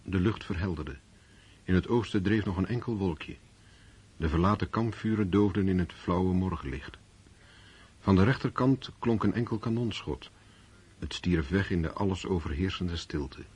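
A man acting as the sober narrator of a historical war story in a radio play, measured and reflective. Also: low voice, a little mellow, husky. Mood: calm, scene-setting.

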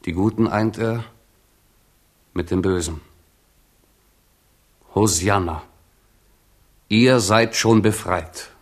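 A sardonic balladeer in a radio drama. The rhymed verse comes very slowly, in a fairly low male voice. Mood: sardonic, solemn.

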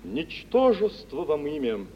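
Theatrical, swooping-voiced suave gentleman. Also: fairly high pitch, wildly swooping pitch, unhurried. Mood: bitterly reproachful. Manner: theatrical, declamatory. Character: suave, proud gentleman, a reproachful former lover. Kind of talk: 1950s Russian radio theatre acting. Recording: muffled, noisy 1950s radio play recording.

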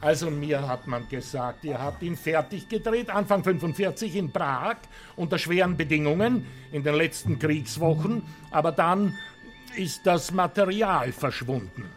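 Guy acting as a slick austrian show host, high voice, slow theatrical patter, music behind him. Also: lively intonation, close to an everyday voice. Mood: insistent. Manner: gushing, theatrical presenter patter. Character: slick, jovial Austrian TV host, nasty underneath. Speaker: actor. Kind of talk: radio drama acting as a show presenter.

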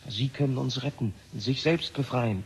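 An actor playing a defiant, idealistic physicist in a 1970s radio drama, intense and argumentative. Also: male voice, mid-range voice, normal speed, husky. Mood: urgent, confrontational. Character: defiant male physicist, urgent, pleading, idealistic. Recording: noisy old radio drama recording.

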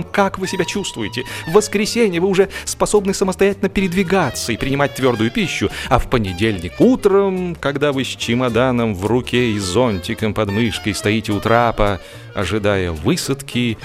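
A man narrating a humorous story in an expressive, theatrical, wry manner with deliberate emphasis. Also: medium pitch. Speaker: audiobook narrator. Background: music.

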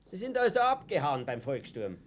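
Wry Viennese slyly defiant little man, high-pitched. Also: huge pitch swings. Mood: sardonic. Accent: Austrian. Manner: ironic, mock-polite, unhurried. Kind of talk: radio play acting. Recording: noisy old radio play recording.

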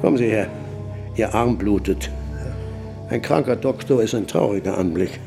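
Old Daoist sage, creaky gravelly voice, dry and unafraid, radio play with music. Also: male voice, on the low side, moderate pace. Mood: serene. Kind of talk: radio drama acting.

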